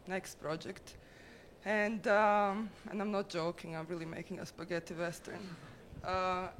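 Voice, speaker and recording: A woman giving an informal, candid artist talk about their own work to an audience. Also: lowish voice. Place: exhibition room with a seated audience. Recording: noisy room with ambient sound.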